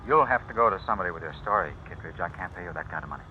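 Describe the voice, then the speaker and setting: An actor in an old radio drama playing an anxious editor being blackmailed, halting, nervous and evasive. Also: male voice, low-pitched, expressive. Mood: uneasy, cornered. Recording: dull, noisy old radio recording.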